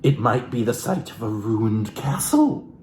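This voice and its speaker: A man from Alaska giving a comedic, theatrical book reading as host, florid and mock-genteel, with dramatic pauses and asides to his viewers. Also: medium pitch, sing-song, darkish tone, a little hiss or hum. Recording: roomy, echoey room sound.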